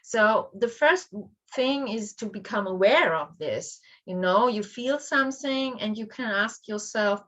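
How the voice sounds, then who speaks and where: A woman's voice: fairly high pitch, lively intonation, unhurried, fairly bright tone, dull audio. A woman, a coach in a podcast panel, explaining patiently and breaking off words to restart them. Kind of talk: casual panel chat.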